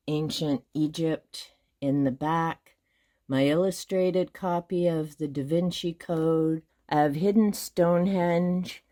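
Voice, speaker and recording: A middle-aged woman whose first language is Hungarian talks through her book collection in a low, mellow voice. She moves at an unhurried pace, counting and listing titles methodically. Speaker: booktube host. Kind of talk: casual shelf tour.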